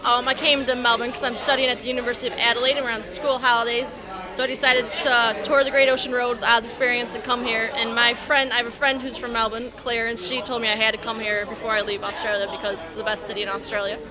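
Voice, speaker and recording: A woman's voice: fairly high pitch, flat delivery, fast talker. A woman, a student answering chattily in a street interview. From Chicago, United States. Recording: crowd noise behind.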